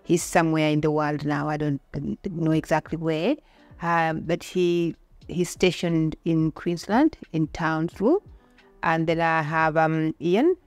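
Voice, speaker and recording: A woman's voice: sits low, unhurried, darkish tone, no echo, faint music behind. A middle-aged woman with a Kenyan accent talking about her own life in a conversational interview. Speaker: podcast guest.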